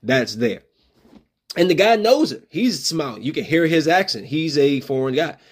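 A man's voice: a little high, big pitch swings, normal speed. A man hosting a solo opinion podcast, talking in loose slang and acting out street confrontations in a raised voice. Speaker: podcast host.

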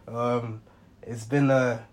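A young man with a hoarse voice, hosting a football reaction show in a rambling, casual monologue full of ums and self-corrections. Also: medium pitch, little pitch movement, slow, a little mellow. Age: young adult. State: hoarse, under the weather. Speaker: football reaction channel host.

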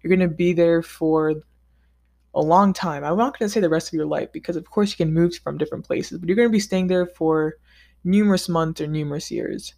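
A man vlogging in a casual, upbeat monologue, chatty and easygoing with relaxed slang. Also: a little high, a little fast, gravelly. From United States. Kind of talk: casual scripted monologue ranking countries.

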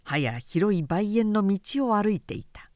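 A woman narrating a literary audiobook in a measured, expressive, dramatic storytelling manner. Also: mid-range voice, no room sound, clean studio sound. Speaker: narrator, reader.

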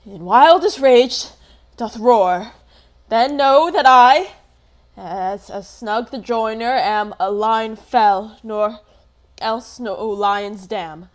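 Woman playing a slow, grandly declaiming amateur stage lion. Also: fairly high pitch. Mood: mock fierce. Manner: loud, halting, grandly declamatory. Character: earnest amateur actor playing a gentle lion. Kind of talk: Shakespearean radio play acting.

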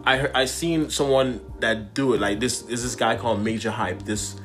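A man chats as a guest in a hesitant, evasive way, trailing off and laughing instead of answering. Music plays clearly in the background. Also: mid-range voice, fairly even intonation, moderate pace, a bit bright. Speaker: actor and recurring guest. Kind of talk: casual chat.

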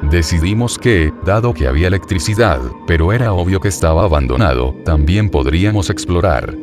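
Low-voiced man narrating horror over music. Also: animated, a little fast. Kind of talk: scripted first-person horror narration. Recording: music bed under the voice. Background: background music.

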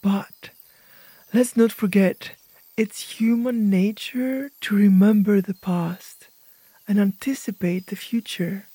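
A woman narrating a guided imagery meditation as host, speaking gently in short, hushed phrases with pauses. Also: medium pitch, fairly even intonation, slightly rough, no room sound.

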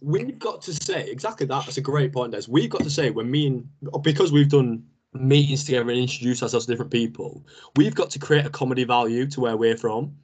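A man's voice: medium pitch, a little fast, darkish tone, husky. A young man in his twenties with a Manchester accent, chatting and joking with a friend as podcast co-host. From northern England. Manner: chatty and joking, laughs often. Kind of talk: casual chat between friends.

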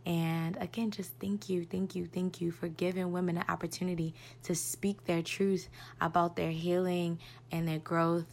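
A woman's voice: fairly low pitch, little pitch movement, moderate pace, vocal fry. A woman of thirty from Baltimore, sending a reflective, earnest voice note to a podcast. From Baltimore, Maryland. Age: 30s. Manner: reflective, earnest, soft at times. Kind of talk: personal voice memo.

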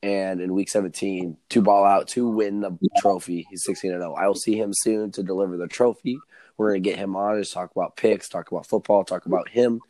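A man with a hoarse, slightly low voice co-hosts a sports podcast. He sounds excited and rattles off stats quickly. The recording is dry and close, with no room sound. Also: no echo, rough recording. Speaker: podcast co-host. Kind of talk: casual sports podcast chat.

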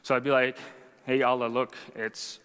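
Young guy, arabic first language, chatty testimony, bright tense voice, hall echo. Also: medium pitch, little pitch movement, moderate pace, clear, resonant tone. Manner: conversational, self-deprecating, frequent "right?" asides. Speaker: speaker sharing a conversion testimony. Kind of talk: personal testimony to an audience.

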